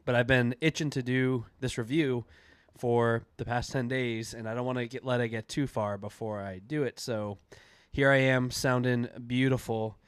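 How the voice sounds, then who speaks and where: A man's voice: medium pitch, steady tone, normal speed, clear, resonant tone, dry recording. A man with a cold and a stuffy, nasal voice, chatting casually as host of a book review video. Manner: chatty, loose, with fillers and restarts. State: congested from a cold.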